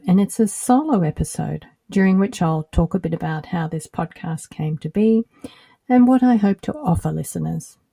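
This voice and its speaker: A middle-aged woman with an Australian accent, hosting her own podcast in a measured, earnest scripted narration. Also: on the low side, warm tone, breathy edge, no room sound. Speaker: podcast host.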